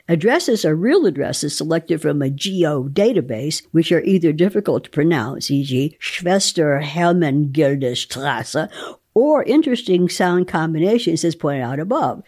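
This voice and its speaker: A woman reading research text aloud in a deliberate, articulate way, breaking off for wry spontaneous asides. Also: low-pitched, darkish tone. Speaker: guest reader and commentator.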